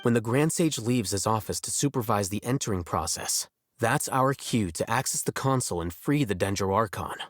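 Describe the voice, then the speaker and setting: A man voicing a cool-headed, calculating strategist in an anime video game, measured and deadpan. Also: lowish voice, no echo. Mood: calm, assured.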